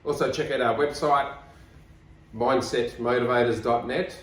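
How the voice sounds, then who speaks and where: A man's voice: mid-range voice, steady tone, moderate pace. A man in his fifties with an Australian accent, co-hosting a motivational podcast in an upbeat, encouraging way. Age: 50s. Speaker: podcast co-host. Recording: roomy, distant mic.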